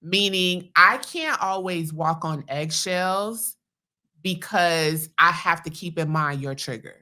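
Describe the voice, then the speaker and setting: A middle-aged woman with a Southern American accent, hosting a podcast and chatting casually with her husband, loud and emphatic, laughing often. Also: on the low side, slow pace. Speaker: podcast host.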